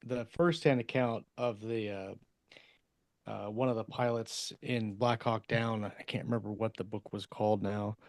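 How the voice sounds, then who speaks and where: Low-voiced man, dry close sound. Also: steady tone, normal speed, no echo. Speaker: podcast co-host. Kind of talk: casual chat.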